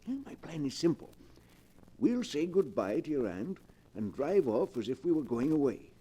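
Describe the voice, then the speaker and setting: Sly fussy professor in old radio drama, high swoopy voice, kinda muted tone. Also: male voice, big pitch swings, moderate pace, dark tone, husky. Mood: scheming. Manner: pompous, precise, insinuating. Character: scheming, fussy professor of spiritualism, male. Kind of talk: 1940s radio drama acting.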